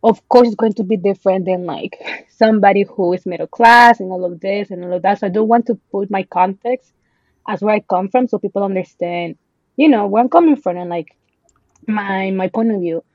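A woman's voice: mid-range voice, fairly quick, a little mellow, no room sound. Podcast guest, woman in her 20s from El Salvador, chatty with lots of ums, dry dull lo-fi sound. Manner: chatty, many ums, slips into Spanish words. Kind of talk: casual podcast chat.

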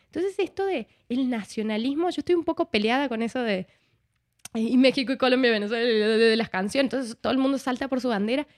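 A woman speaking as a guest in a reflective interview, expressive and conversational, often voicing bits of dialogue as she talks. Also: on the high side, fast. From Argentina.

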